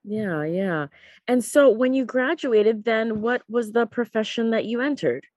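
A woman's voice: a little high, a little slow, darkish tone, no echo. A woman hosting a podcast, interviewing in a casual, chatty and informal way.

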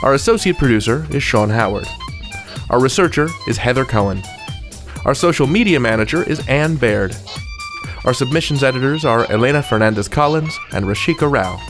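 Animated man hosting a podcast. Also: mid-range voice, brisk, music in the background. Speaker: podcast host. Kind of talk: chatty podcast hosting and scripted credits.

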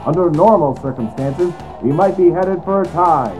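Casting: male actor as excitable vintage football broadcaster, high-pitched, calling plays over music. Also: normal speed, natural voice. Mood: keyed-up. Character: excited 1950s football play-by-play radio announcer. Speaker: sports announcer. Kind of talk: sports broadcast commentary.

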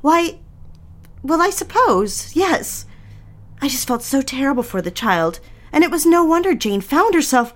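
An actress playing a fragile, eager young mid-century housewife in an audio drama, confiding in a theatrical, genteel way. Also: female voice, high-pitched, big pitch swings, a little slow. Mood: nervous, eager. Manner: theatrical, confiding, genteel, old-fashioned phrasing. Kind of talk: dramatic scripted audio drama narration and dialogue.

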